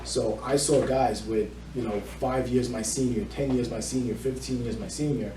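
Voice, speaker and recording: A man's voice: mid-range voice, fairly even intonation, normal speed. A middle-aged man speaking as a mortgage loan officer, explaining his work as an interview guest. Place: indoor room with small audience. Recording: echoey room, distant mic, faint noise.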